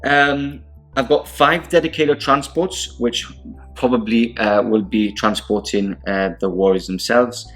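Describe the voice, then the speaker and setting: A man's voice: medium pitch, fairly even intonation, measured pace, a bit bright, soft background music. A man whose first language is Spanish, hosting a tabletop wargame show with casual, unscripted rundowns. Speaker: host of a tabletop wargame battle report show.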